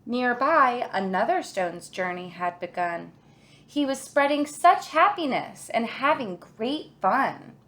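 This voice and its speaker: A woman reading a children's picture book aloud in an expressive, deliberate storytelling way, like a kindergarten teacher. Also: a little high.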